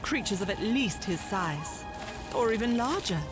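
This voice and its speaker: A woman reading scripted literary narration for a children's audio drama in a measured, dramatic, descriptive way. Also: medium pitch, lively intonation, over music.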